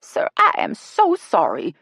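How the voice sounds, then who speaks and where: Woman putting on a bossy, excitable woman, swooping pitch. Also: unhurried, slightly rough, no room sound, studio quality, full character voice. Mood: flustered, apologetic. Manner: snappy, emphatic. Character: bossy, excitable woman scolding her friends. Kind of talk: audiobook character acting.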